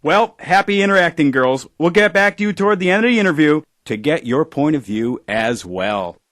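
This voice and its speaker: An actor playing a glib, self-satisfied radio interview host in a satirical audio drama. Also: male voice, fairly high pitch, lively intonation, normal speed, fairly bright tone, no room sound, clean studio sound. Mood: upbeat.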